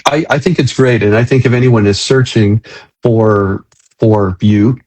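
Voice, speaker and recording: A middle-aged or older man hosting a casual live interview, speaking haltingly and repeating words as he thinks aloud. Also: fairly low pitch, a little mellow, poor audio. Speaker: interview host.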